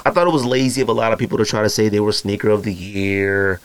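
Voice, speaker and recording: A man in his thirties with a naturally deep voice, hosting a podcast in loose, slangy casual chat full of fillers and asides to his listeners. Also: quick pace, clear, resonant tone, dry recording. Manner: feels hot and uncomfortable while recording. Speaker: podcast host. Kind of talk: casual solo podcast rambling.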